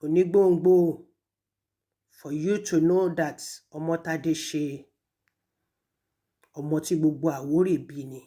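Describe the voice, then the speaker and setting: A woman with a Nigerian Yoruba accent, speaking accusingly and emphatically in an impassioned public rebuke, with pointed pauses. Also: low-pitched, fairly even intonation, dark tone. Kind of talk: impassioned public rebuke, switching between languages.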